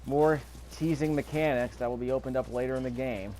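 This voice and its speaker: A man's voice: mid-range voice, fairly quick, darkish tone, low-quality recording. A man giving casual, off-the-cuff gameplay commentary, musing aloud and repeating his phrases. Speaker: gaming video host. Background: faint video game ambience.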